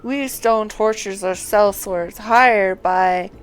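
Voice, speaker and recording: Earnest, slow-spoken sellsword recruiter read by a woman. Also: medium pitch, clean tone. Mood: earnest, cautioning. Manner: formal, measured, cautioning. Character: earnest, dutiful male sellsword recruiter in fantasy game.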